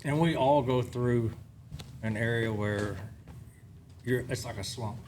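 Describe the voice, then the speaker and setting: A man's voice: mid-range voice, takes it slowly, a little mellow, slight background noise. A man in his fifties, a guest on a podcast, giving plainspoken advice in casual chat. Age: 50s. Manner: plainspoken, gives advice, short agreeing replies.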